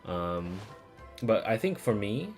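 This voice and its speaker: A low-pitched man talks casually through his game on a stream. He rambles informally and swears now and then, while music plays prominently under his voice. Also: very animated, takes it slowly, darkish tone. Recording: music playing behind the voice.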